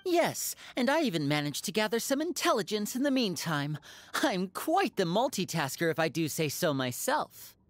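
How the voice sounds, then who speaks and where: A woman's voice: mid-range voice, big pitch swings, moderate pace, no echo, faint music behind, lightly acted. A woman playing a suave, self-assured stage magician in a game, with a polished, theatrical manner. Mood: confident, showy. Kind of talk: game voice acting.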